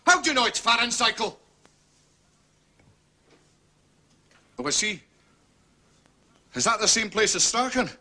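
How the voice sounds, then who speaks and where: A man playing an irascible, bossy Scottish police inspector in a radio drama, scolding with sharp authority. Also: high-pitched, animated, a little fast, heavily acted. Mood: gruff, businesslike.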